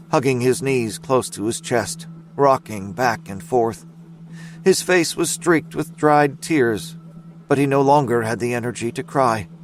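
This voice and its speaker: A man narrating scripted dramatic fiction in a measured storytelling manner. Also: mid-range voice, a little hiss or hum.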